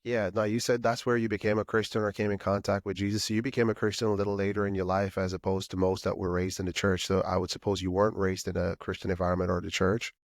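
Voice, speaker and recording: Fast-talking podcast host. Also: male voice, low-pitched, flat delivery, no echo, studio quality. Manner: quick, friendly questions with fillers. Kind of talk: casual interview over video call.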